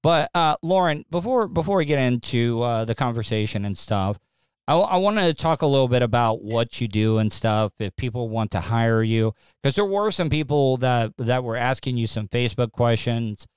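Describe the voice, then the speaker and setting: A man's voice: medium pitch, animated, normal speed, darkish tone, clear, resonant tone, no echo. A man hosting a casual live-streamed talk show, rambling along with repeated words and restarts. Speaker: podcast host.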